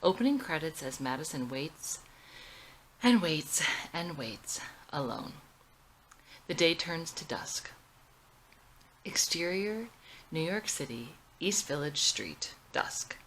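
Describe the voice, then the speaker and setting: A woman's voice: low-pitched, big pitch swings, takes it slowly, pauses often, fairly bright tone, slight background noise, rough recording. A woman narrating her own screenplay as a scripted reading, including scene headings and stage directions.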